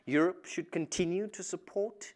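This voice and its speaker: A man's voice: sits high, normal speed, close to an everyday voice. A young man acting a probing interviewer in a live stage play, putting pointed questions in turn. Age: young adult.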